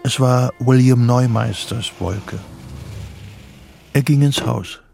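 The narrator of a radio thriller. His medium-pitched voice has a marked gravelly creak, and music runs clearly underneath the scripted narration. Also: takes it slowly, a little mellow. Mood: measured, tense. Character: male narrator of a psychological thriller.

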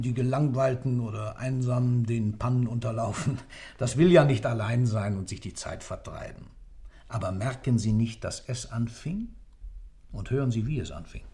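A man's voice: mid-range voice, a little mellow, close to an everyday voice. A man playing a grave, obsessive witness who narrates a radio play in solemn, declamatory poetic style. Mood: urgent.

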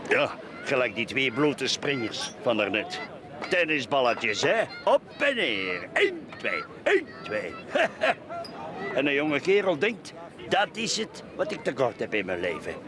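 Blustering, grumpy middle-aged Flemish man, swooping pitch. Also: sing-song, a little slow, a crowd in the background. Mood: cynical. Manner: blustering, grumbling, exclaims and mutters. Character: grumpy, prudish, boastful heavyset middle-aged bourgeois beach-café customer. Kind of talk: radio play acting.

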